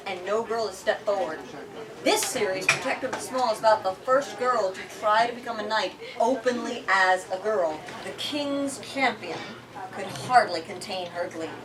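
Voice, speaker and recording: A woman hosting a book talk, reading and explaining dramatically with animated, emphatic, theatrical delivery. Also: mid-range voice, distant mic, rough recording. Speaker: book talk host and reader.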